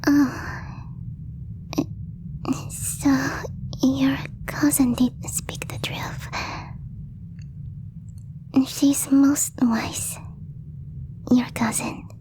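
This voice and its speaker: A woman voicing a possessive, lovestruck alien girl in a breathy whisper, with slow, stiffly formal phrasing for an ASMR roleplay. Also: sits high, steady tone, warm tone, rough recording. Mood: pleased, possessive. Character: possessive lovestruck alien girl, stilted speech, shyly obsessive.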